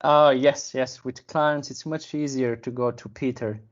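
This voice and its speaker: A man, a sales manager explaining a client case in a measured, careful way with pauses to find words. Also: medium pitch, steady tone, highs cut off. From Bulgaria. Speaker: sales and business development manager. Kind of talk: business interview.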